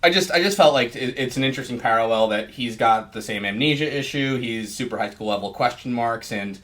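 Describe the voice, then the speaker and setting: A man's voice: mid-range voice, a little fast, a bit bright. A man hosting a podcast, chatting casually and rambling with lots of "like" and "you know". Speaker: podcast host, interviewer.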